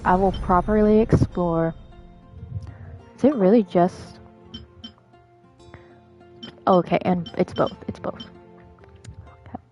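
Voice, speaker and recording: A woman's voice: on the low side, takes it slowly, pauses often, dark tone, faint music behind. A woman game streamer chatting casually on a livestream, offhand and half talking to herself. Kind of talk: casual livestream chat, reading game lines aloud.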